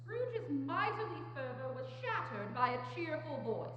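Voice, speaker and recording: A woman narrates theatrically in a high voice that moves widely in pitch. The recording is very echoey, with a clear humming noise. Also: sing-song, measured pace, a bit bright. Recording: very echoey, dull, noisy hall hum.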